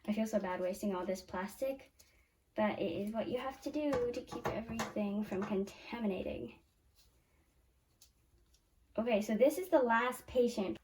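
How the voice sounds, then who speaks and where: Squeaky-voiced 12-year-old girl doing a lab demo. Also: darkish tone, slight background noise. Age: 12 years old. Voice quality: very high squeaky young voice. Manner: chatty, self-correcting, talks through each step. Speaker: young science channel host. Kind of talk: casual hands-on lab demo. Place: small home lab. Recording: voice from a distance off the mic.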